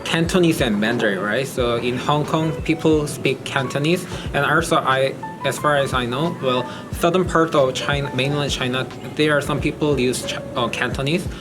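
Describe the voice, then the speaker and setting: A man's voice: mid-range voice, normal speed, few pauses, over music. A man from Korea answering a street interview, hesitant and full of fillers like "well".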